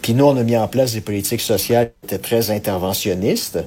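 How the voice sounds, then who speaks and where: Low-voiced Québécois man, analytical. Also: fast talker, darkish tone, slightly rough. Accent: Québécois French. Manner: earnest, analytical, hesitant with many fillers. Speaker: political commentator. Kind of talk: radio commentary segment.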